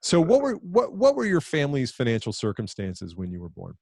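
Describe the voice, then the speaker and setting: A man's voice: medium pitch, wildly swooping pitch, brisk, creaky, darkish tone, slightly rough, dry recording, studio quality. A man with a Southern US accent hosting a podcast interview, asking plain questions in a self-deprecating way. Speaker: podcast host.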